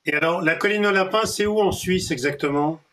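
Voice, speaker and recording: A man's voice: high-pitched, fairly quick, fairly bright tone. A man interviewing a shelter founder with short prompting questions.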